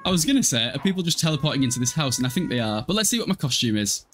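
Young man with lively, swinging pitch. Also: very fast, noisy background. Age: young adult. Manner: irreverent, sweary, jokey. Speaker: livestreamer playing a life-simulation game. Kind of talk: casual game livestream commentary and chat. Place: small room at home, indoors.

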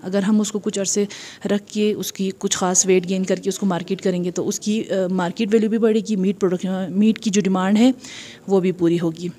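A woman's voice: mid-range voice, steady tone, mellow. A woman in her thirties with a Pakistani accent gives an informal awareness statement into a handheld mic, hesitating often and mixing in English terms. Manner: hesitant, with frequent filled pauses and English terms mixed in. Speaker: livestock department representative. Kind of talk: informal public-awareness interview statement to camera. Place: indoor event hall, in front of a banner. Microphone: handheld reporter microphone.

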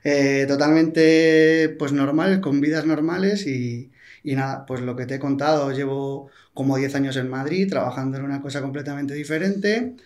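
A man in his thirties from Toledo, a podcast guest talking casually and relaxed, often repeating his words, at a boom-arm studio mic in a small studio. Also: moderate pace. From Toledo, Spain. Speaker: podcast guest, hunting platform founder. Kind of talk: casual interview talk. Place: small studio with wood panels and a plant. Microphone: large studio mic on a boom arm, headphones.

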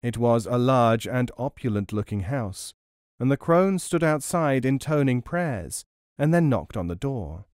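A man narrating a scripted story as an audiobook reader, expressive, measured and articulate. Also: medium pitch, no echo, studio quality.

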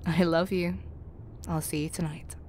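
A woman's voice: sits low, flat delivery, unhurried, a little mellow, a little hiss or hum. A woman acting in an audio roleplay as a tough, confident spy with a tender private side, wry and self-assured. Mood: tender, teasing.

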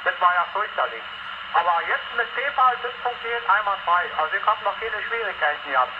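A man's voice: sits high, expressive, fairly quick. A man chatting casually as an operator over CB radio. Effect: heard over CB radio. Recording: noisy muffled CB radio reception.

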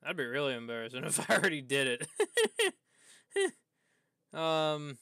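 Male game streamer, crisp and bright, close dry mic, casual halting self-talk. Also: a little high, dry recording. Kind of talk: casual livestream commentary while playing a video game.

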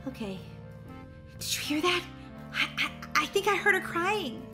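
A woman playing a tired, worried young mother who talks in an anxious, hurried way. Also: extremely high pitch, lively intonation, music in the background.

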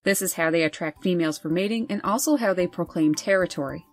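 A woman host reading scripted nature narration over wildlife footage in a measured, informative way. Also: fairly low pitch, faint music behind. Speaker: birding channel host.

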